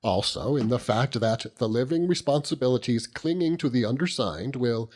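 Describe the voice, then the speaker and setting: A man voicing a pompous, theatrically gloomy middle-aged gentleman in debt, in florid phrases, for an audiobook. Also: mid-range voice, animated, moderate pace, natural voice. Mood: grandly gloomy. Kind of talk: audiobook character reading.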